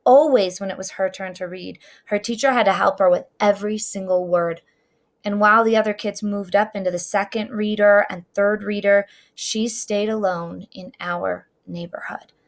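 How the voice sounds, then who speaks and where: A middle-aged woman reading a children's story aloud with deliberate, expressive, dramatic phrasing. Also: medium pitch.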